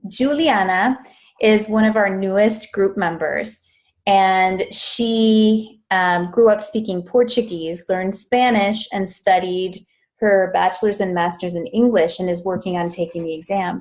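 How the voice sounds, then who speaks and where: A woman's voice: mid-range voice, a little mellow. A woman teaching grammar in a live online session, speaking into a computer mic. She is chatty and casual, often stops to correct herself and laughs off small mishaps. Her voice sits in an ordinary middle range. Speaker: Spanish interpreting coach.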